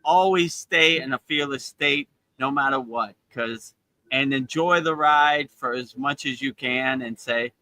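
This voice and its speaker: A man's voice: medium pitch, unhurried, bright, forceful tone, dry recording, rough recording. A man from Miami, Florida, hosting a live spiritual talk and telling stories in a chatty way, dropping in "you know" often and laughing between points. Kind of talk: casual spiritual storytelling. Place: outdoors in Thailand.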